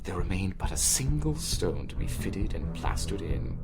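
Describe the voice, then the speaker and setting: Low-pitched guy reading a gothic tale, super dramatic and varied, music behind him. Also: very animated. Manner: theatrical, deliberate pauses, emphatic. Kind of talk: dramatic literary narration, Gothic horror story.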